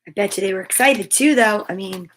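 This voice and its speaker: A woman's voice: mid-range voice, fairly quick, a bit bright, slight background noise. A woman hosting a live children's story time, reading aloud and chatting to viewers in an upbeat, gushing, encouraging way.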